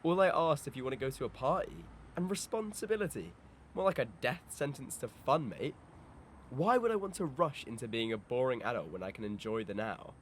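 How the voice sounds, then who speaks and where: Clearly noisy audio carries a young man acting as a fun-loving teenage lad with a mid-range voice. He talks casually and matily, like a loyal mate who resists growing up, in an amateur audio drama. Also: expressive, a little fast, natural voice. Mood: defensive, carefree. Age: young adult. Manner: casual, matey. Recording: noisy amateur recording, environmental rumble.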